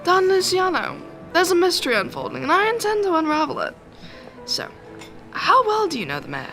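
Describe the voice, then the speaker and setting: A woman's voice: very high-pitched, big pitch swings, brisk, sharp tone, faint music behind, full character voice. A young woman acting as a determined, fearless newspaper reporter in an amateur radio drama, earnest and declarative. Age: young adult.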